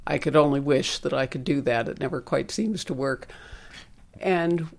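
A woman hosting a public radio arts program from the studio, speaking in a measured, articulate way as she introduces and interviews a guest. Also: extremely low pitch, gravelly, slight background noise. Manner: measured, articulate, spells things out. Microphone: studio microphone.

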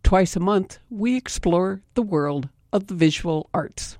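A woman hosting a public radio arts program from the studio, speaking in a measured, articulate way as she introduces and interviews a guest. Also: lowish voice, expressive, a little mellow, no room sound. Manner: measured, articulate, spells things out. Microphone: studio microphone.

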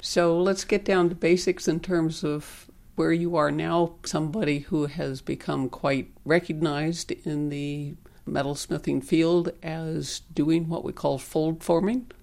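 Woman, radio host, measured, articulate, studio mic, dry sound. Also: low voice, steady tone, vocal fry, a little mellow, dry recording. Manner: measured, articulate, spells things out. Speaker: radio arts program host. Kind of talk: public radio interview. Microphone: studio microphone.

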